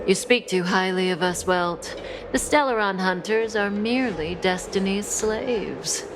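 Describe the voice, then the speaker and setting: A woman's voice: medium pitch, a little slow, music in the background, lightly acted, low-quality recording. An actress voicing a cool, coy, enigmatic woman outlaw in a video game, languid and amused. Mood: coy, composed.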